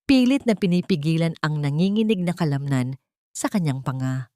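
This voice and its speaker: Female narrator, lowish pitch, dramatic scripted storytelling, emotive, dry studio-like sound. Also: animated, normal speed, mellow, no room sound.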